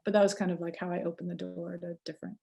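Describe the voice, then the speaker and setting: Mellow, low-voiced woman chatting as guest. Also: flat delivery, very fast, vocal fry. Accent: Californian. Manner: chatty, many fillers and self-corrections, laughs easily. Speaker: guest researcher. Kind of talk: casual interview conversation.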